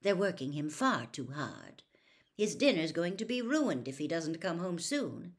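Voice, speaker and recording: Woman playing a fretful, sharp-tongued storybook mother. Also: low voice, very animated, exaggerated voice. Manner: scolding, quick to flare up. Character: fretful, sharp-tongued middle-aged mother of a large family. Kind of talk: storybook character voice.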